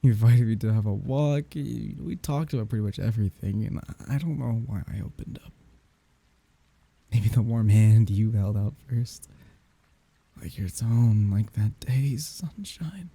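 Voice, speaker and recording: A man acting a grieving lover saying farewell to his dead beloved in an intimate ASMR audio roleplay, speaking in a wry, tender, halting murmur. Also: dark tone, full character voice. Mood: grieving, emotional. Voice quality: husky, breathy, gravelly low voice.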